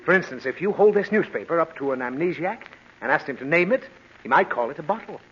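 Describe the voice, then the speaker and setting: Man playing a high, exasperated, fussy radio dad. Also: lively intonation, quick pace, lightly acted. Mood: explaining. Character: exasperated, easily flustered father of a mischievous girl. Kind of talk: 1940s radio comedy acting. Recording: scratchy 1940s radio, narrow and noisy.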